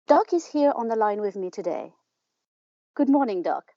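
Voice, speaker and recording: A woman's voice: mid-range voice, big pitch swings, normal speed, mellow, no room sound. A woman hosting a podcast, reading introductions and putting questions to a guest. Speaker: podcast host, interviewer. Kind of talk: scripted introduction and interview. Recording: dull, dry, band-limited sound.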